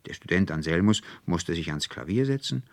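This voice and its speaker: A man narrating a literary fairy tale in a measured, expressive storytelling manner. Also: sits low, no echo. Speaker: audiobook narrator.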